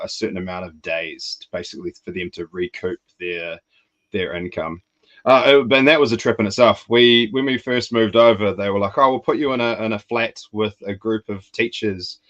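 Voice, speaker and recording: Low-voiced man telling travel stories. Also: normal speed, highs cut off. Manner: storytelling, relaxed anecdotes. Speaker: podcast co-host. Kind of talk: casual podcast chat.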